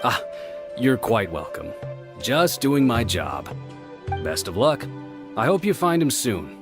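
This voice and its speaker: A man's voice: mid-range voice, very animated, slow, music in the background. An actor playing a helpful male village guard who speaks politely and thoughtfully.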